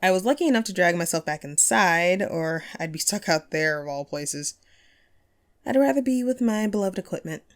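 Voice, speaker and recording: A woman's voice: on the low side, lively intonation, moderate pace. A woman playing a friendly, wistful ghost who hosted a paranormal radio show, chatty and playful in an intimate audio roleplay. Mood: chatty, wry. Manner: playful, conversational, self-deprecating, breaks into chuckles. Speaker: radio host.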